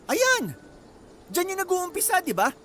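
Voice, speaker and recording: An actor playing a jealous, abusive young boyfriend in a radio drama, with an accusing, sarcastic and menacing manner. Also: male voice, very high voice, wildly swooping pitch, unhurried, bright, forceful tone, a little hiss or hum, full character voice. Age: young adult.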